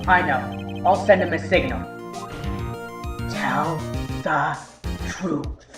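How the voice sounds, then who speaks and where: A nervous young male defense lawyer, acted by a man, talks slowly and hesitantly, easily flustered. His voice is roomy, with game music behind it. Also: a little high. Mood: worried. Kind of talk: game fandub acting, inner monologue.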